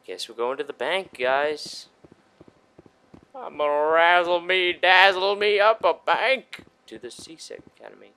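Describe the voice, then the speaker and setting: A man's voice: on the high side, expressive, unhurried, pushed and bright, clear, resonant tone. A man giving casual, profane, joking let's play commentary with mock exasperation. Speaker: gaming channel host.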